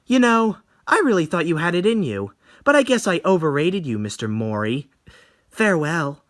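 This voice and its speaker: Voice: medium pitch, big pitch swings, normal speed, lightly acted. A performer voicing a snobby, beautiful young woman in a horror story, haughty and theatrical, drawing out words. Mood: mocking disdain.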